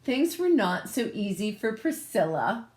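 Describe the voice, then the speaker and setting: A woman reading a children's story aloud expressively, adding casual asides as she goes. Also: mid-range voice. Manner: expressive, pausing to comment on the pictures. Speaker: picture-book reader.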